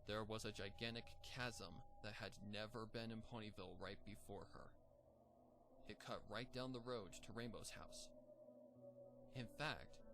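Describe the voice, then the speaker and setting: A man narrating a fan story aloud at a steady, even storytelling pace. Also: lowish voice.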